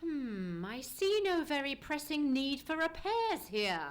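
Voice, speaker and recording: A woman playing a shrewd, assured gentleman detective, formal and precise, in a live radio play. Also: high-pitched, very animated, takes it slowly, full character voice. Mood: probing. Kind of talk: live old-time radio play acting.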